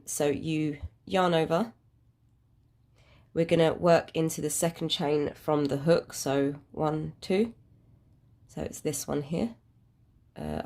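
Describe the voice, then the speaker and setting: A woman's voice: low voice, warm tone. A British woman with a congested, cold-affected voice, giving an informal craft tutorial in a hesitant, rambling, self-deprecating way. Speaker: crafting channel host.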